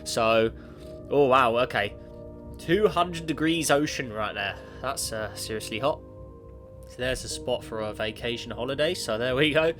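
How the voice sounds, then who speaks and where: A man's voice: mid-range voice, a little slow, fairly bright tone. A man with a cold, chatting casually as he plays a space simulation game, rambling and talking back to the game. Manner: rambling, self-correcting, talks to the game. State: has a cold, sniffly. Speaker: gaming YouTuber. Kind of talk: casual gameplay commentary. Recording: clear background music. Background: background music.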